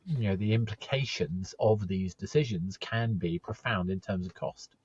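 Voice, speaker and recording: A man's voice: on the low side, normal speed, darkish tone, husky. A man hosting a podcast, asking rambling, hesitant questions with plenty of fillers. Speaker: podcast host. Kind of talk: casual interview questions. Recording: dull lo-fi sound.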